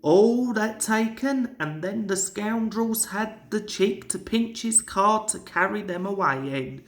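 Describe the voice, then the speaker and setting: A woman's voice: medium pitch, expressive, takes it slowly, reverberant. A woman voicing an earnest, indignant male railway fireman in a storybook. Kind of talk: storybook character voice.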